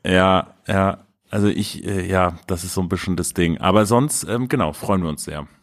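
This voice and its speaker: A man in his thirties with a cold, co-hosting a podcast in a relaxed, rambling way. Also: deep voice, moderate pace, no echo, clean studio sound. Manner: relaxed, rambling with many fillers. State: has a cold. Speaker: podcast co-host. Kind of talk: casual podcast chat.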